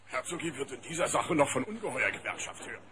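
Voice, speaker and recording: A man's voice: medium pitch, very animated, fast talker, noisy background, close to an everyday voice. A male actor playing a dim, grumbling monster henchman with oafish broken speech in a German cartoon audio drama.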